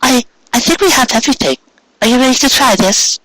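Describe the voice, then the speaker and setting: Guy doing a sweet shy girl baker pony, high voice, kinda halting, storybook read. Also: expressive, pushed and bright, dry recording, full character voice, poor audio. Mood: tender, hopeful. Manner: hesitant, halting, polite. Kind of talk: amateur storybook character reading.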